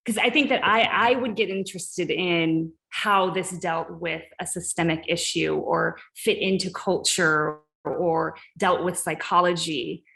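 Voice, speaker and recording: A woman's voice: fairly low pitch, steady tone, unhurried, creaky, hardly any pauses, fairly bright tone. A woman from the US South with a faint Southern accent, answering questions thoughtfully over a video call during an artist talk. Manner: reflective, with frequent restarts. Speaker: visiting artist.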